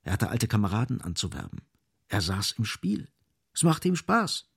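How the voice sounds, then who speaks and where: An actor as the sardonic narrator of a radio play, delivering literary prose in a grave, emphatic, ironic way. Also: male voice, on the low side, huge pitch swings, normal speed, vocal fry, husky, exaggerated voice. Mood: biting.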